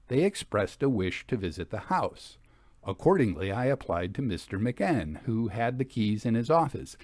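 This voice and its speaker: A man narrating in a scripted storytelling style, measured and articulate, like the host of a literary reading podcast. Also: medium pitch, darkish tone, no echo.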